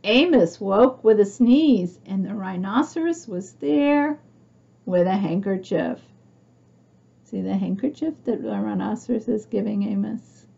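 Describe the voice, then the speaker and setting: A woman's voice: mid-range voice, a little slow, gravelly, dark tone, husky, muffled recording. A woman reading a picture book aloud to young children, expressively, with chatty asides and questions for her listeners. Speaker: storybook reader for young children.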